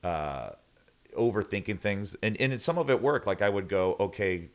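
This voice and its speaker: A man's voice: lowish voice, animated, moderate pace. A man chatting casually as a guest singer, telling rambling stories that he keeps interrupting himself. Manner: rambling, self-interrupting storyteller.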